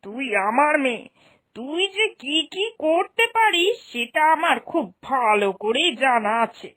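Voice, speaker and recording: A woman playing an exasperated, sharp-tongued older mother and mother-in-law in a cartoon moral story, scolding with reproachful questions. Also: high voice, lively intonation, unhurried. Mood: irritated.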